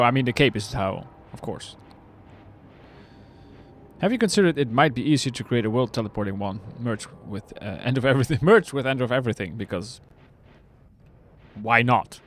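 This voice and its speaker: Chatty male streamer over game music. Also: mid-range voice. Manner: chatty, answers chat with quick laughs. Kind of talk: casual live-stream chat while playing. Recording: clear game music under the voice.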